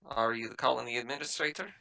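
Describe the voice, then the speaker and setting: Man playing a bright-voiced, composed spaceship captain. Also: mid-range voice, quick pace, gravelly, low-quality recording. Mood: measured, formal.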